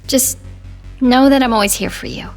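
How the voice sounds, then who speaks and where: A woman's voice: fairly high pitch, normal speed, creaky. A woman playing a loyal, concerned teenage girl, talking casually and supportively as a best friend in an audio drama. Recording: music under the voice. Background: music.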